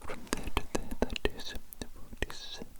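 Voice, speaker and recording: A performer voicing a curious, blunt alien doctor in an ASMR roleplay, in a soothing breathy whisper with repeated phrases. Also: normal speed, lightly acted.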